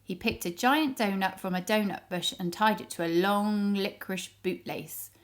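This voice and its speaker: A woman reading a story aloud to young children in an expressive storytelling style. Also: mid-range voice. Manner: pauses to ask the child listeners questions.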